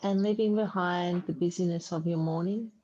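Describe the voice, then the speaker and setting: A woman yoga teacher leading a guided relaxation meditation in a soothing, unhurried way with long pauses. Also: on the low side, fairly even intonation, mellow. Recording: dull muffled audio, faint background noise.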